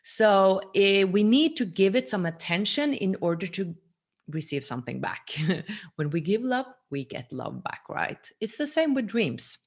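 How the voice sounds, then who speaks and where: A woman's voice: medium pitch, sing-song, measured pace. A woman in her forties talking earnestly to camera in a personal teaching monologue, recorded seated in a small room at home. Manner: earnest, gestures a lot with her hands. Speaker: vlogger.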